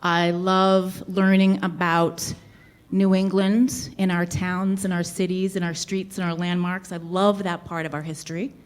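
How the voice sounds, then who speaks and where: A woman's voice: lowish voice, little pitch movement, a little slow, clean tone. A woman with a light Boston accent hosting a live podcast show in a brewery, talking in a chatty, easygoing way. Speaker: podcast host.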